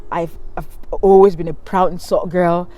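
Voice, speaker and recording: Low-voiced woman vlogging outdoors. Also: fairly even intonation, normal speed, dark tone. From Cameroon. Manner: candid, rambling, many ums and restarts. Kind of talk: casual storytime monologue. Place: outdoors in nature by a river. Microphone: handheld camera at arm's length.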